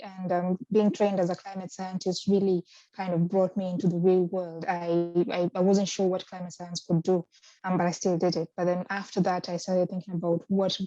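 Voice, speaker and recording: Low, flat-voiced woman from Kenya. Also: no echo, dull audio. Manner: hesitant, many ums and restarts. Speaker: research fellow, interviewee. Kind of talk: thoughtful interview answers.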